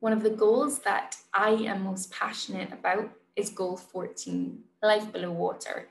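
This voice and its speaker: Woman, 30s, earnest scripted presenter, some vocal fry, roomy sound. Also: mid-range voice, steady tone, measured pace. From Barbados. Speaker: conservationist and presenter. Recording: roomy echo, light background noise.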